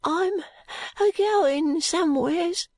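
Lady doing a poor sick kid, hoarse n high, scared and stubborn, drags words out, storybook voice. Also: darkish tone, dry recording, heavily acted. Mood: dazed, weary. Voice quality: hoarse, muttering. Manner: slow, drawling uneducated dialect. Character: sick, frightened, stubborn poor London boy, feverish. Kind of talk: audiobook character voice.

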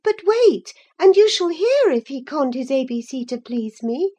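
Woman voicing a haughty, high-pitched, spirited young lady. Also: sing-song, unhurried, mellow. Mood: pert. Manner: exclamatory, mocking. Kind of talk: dramatised classic novel reading.

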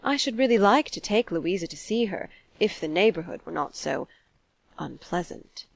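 A woman's voice: on the low side, expressive, moderate pace, gravelly, no room sound. Lady doing an old-fashioned elderly gent, mild and complacent, a bit hesitant, formal, storybook.

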